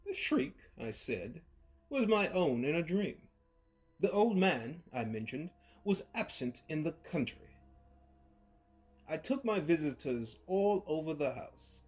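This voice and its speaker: A man giving a theatrical reading of a story, swinging from hushed to loud. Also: on the high side. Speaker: narrator. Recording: faint music behind.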